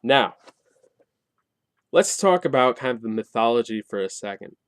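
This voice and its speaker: A man's voice: medium pitch, expressive, normal speed, smooth, dry recording. A man giving a casual spoken review and explaining a game setting, colloquial and wry with sarcastic asides. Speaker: tabletop game reviewer and host.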